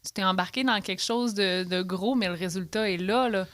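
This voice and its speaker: A woman's voice: mid-range voice, fairly quick, fairly bright tone, no echo. Québécois woman, podcast host, casual and conversational, plenty of fillers. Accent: Québécois French. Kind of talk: casual interview chat. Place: podcast studio.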